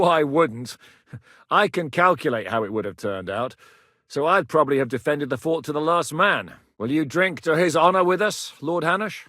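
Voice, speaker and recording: An actor playing a blunt, battle-hardened middle-aged mercenary commander in a video game, coarse-spoken with a dry wit. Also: male voice, mid-range voice, bright, forceful tone, no room sound. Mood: formal, grateful. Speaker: military commander. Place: studio booth. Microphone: close studio mic.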